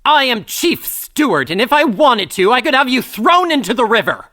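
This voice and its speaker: A man's voice: extremely high pitch, sing-song, moderate pace, sharp tone, dry recording, clean studio sound, exaggerated voice. An actor playing a pompous, short-tempered male steward, speaking in a formal, haughty manner for a dramatized audiobook. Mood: haughty anger. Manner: haughty, indignant, formal servant speech. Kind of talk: dramatized audiobook character acting.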